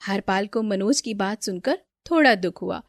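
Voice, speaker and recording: A woman's voice: medium pitch, animated, normal speed, no room sound, clean studio sound. A woman storyteller reading a scripted children's moral story as narrator.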